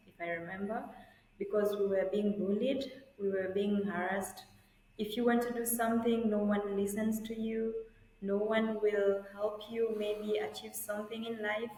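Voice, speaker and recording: A young woman from Tanzania, an attorney telling her life story in an interview over a video call in a measured, earnest way. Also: medium pitch, darkish tone, roomy sound, in a large hall, slight background noise, poor audio. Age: young adult. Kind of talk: conversational interview answers.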